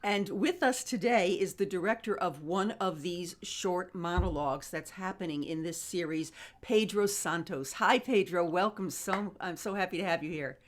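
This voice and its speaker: A woman's voice: on the low side, moderate pace, hardly any pauses. A woman hosting a casual interview over a video call in a chatty, effusive way. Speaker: host.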